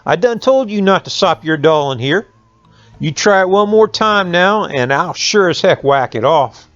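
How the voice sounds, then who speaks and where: A man's voice: sits high, lively intonation, normal speed, fairly bright tone, no room sound, muffled recording, pitched above the performer's own voice. A man voicing a bold young country hero in a folk tale, with folksy rural phrasing. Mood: defiant.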